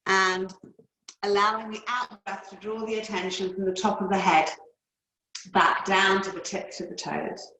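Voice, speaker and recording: A woman with a mid-pitched voice guides breathing and relaxation as a yoga teacher. She speaks calmly and soothingly, leaving long unhurried pauses. The sound is roomy, with clear echo around her words. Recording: roomy, echoey indoor sound.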